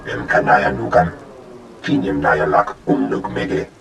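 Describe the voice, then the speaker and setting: An actor voicing an alien creature in a video game, with choppy, guttural syllables in an invented tongue. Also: male voice, low voice, very animated, a little slow, creaky, heavily acted. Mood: insistent. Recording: rainy, noisy outdoor ambience.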